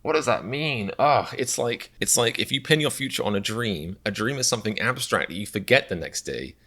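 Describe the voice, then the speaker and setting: Young British man, low voice with a bright, forceful edge, rambling and reflective reaction-video host. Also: expressive, a little fast. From Britain. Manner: reflective, self-deprecating, rambling with restarts. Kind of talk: casual rambling commentary.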